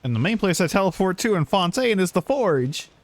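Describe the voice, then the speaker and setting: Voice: mid-range voice, very animated, a little fast. A game streamer giving casual, slangy live commentary in a laid-back way. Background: faint game music.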